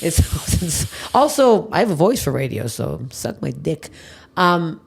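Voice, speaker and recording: A woman comedian, a guest on a podcast, chatting bluntly and jokily, with quick asides and free swearing. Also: deep voice, big pitch swings, vocal fry, slight background noise. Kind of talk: casual chat.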